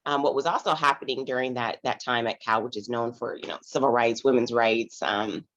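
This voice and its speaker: A woman in her forties with a raspy morning voice, an investor guest telling her story in a rambling way full of "you know" fillers over a video call. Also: rumbling low, fairly even intonation, a little fast, a bit bright, lo-fi sound. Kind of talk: casual conversational storytelling.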